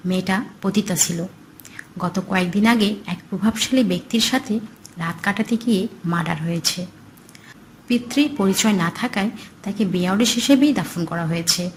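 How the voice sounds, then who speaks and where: A scoffing, money-minded graveyard night guard from an animated horror tale, acted out by a woman narrator. He talks bluntly, in a middle register for a woman's voice, over faint background noise. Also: mid-range voice, moderate pace, natural voice, low-quality recording. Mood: matter-of-fact. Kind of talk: horror cartoon storytelling.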